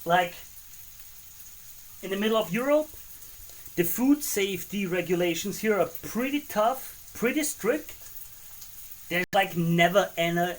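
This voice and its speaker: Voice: mid-range voice, low-quality recording. A streamer from Austria, chatting casually with live viewers while cooking and answering them between remarks on the pot.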